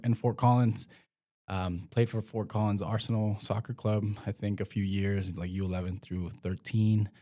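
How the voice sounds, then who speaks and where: A man's voice: low-pitched, darkish tone. A young man from Mexico, a former pro soccer player, talking in a casual interview with many fillers and restarts.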